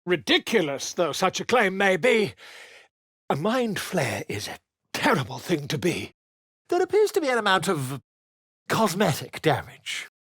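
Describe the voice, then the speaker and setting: A man's voice: high voice, huge pitch swings, unhurried, a bit bright, slightly rough, no echo, clean studio sound, full character voice. An actor playing a pompous, flamboyant male author and chronicler who is inquisitive and fussy, speaking in a theatrical, florid and wordy way in a video game.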